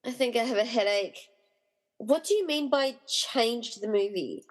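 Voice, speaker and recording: A woman playing an excitable, wry, slightly exasperated rescuer in a comedy radio play. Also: a little high, a little slow. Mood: puzzled, wry.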